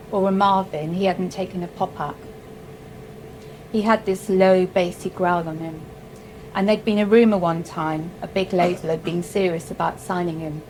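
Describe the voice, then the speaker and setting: A woman from South Wales reading her own short story aloud in a measured, unhurried way with soft, dry humour. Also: lowish voice, slight background noise, rough recording.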